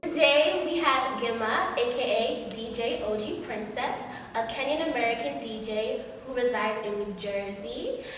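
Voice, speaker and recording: A woman's voice: a little high, measured pace, very echoey, long reverb tail, distant mic. A woman co-hosting an interview show, asking playful, teasing questions. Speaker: co-host. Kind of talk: casual interview chat.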